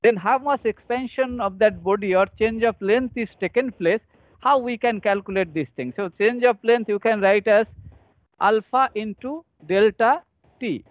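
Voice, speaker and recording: A high-voiced man with an Indian accent gives a technical lecture over an online session. He works through equations haltingly, repeating his phrases as he goes. The sound is dry and somewhat dull and lo-fi. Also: no echo. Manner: repetitive, halting step-by-step explanation. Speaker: engineering professor. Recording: dull lo-fi online call audio.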